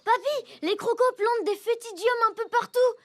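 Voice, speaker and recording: A woman voicing a clever, cheerful young elephant boy in a cartoon, with a bright, eager voice. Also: extremely high pitch, moderate pace. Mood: curious. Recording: music under the dialogue.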